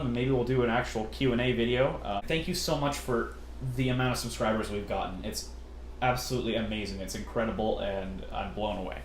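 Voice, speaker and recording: A man from California with a generally deep voice, hosting a casual question-and-answer chat and talking in a hesitant, easygoing way with lots of fillers. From California, USA. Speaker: channel host. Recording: roomy echo, faint noise.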